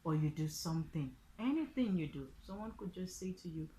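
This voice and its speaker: A woman with a low voice and a Nigerian accent teaches in an informal tutorial style. She explains things step by step, often repeating a phrase as an example. There is faint background noise on the recording. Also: expressive, fairly quick, dark tone, rough recording. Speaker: online language teacher and vlogger.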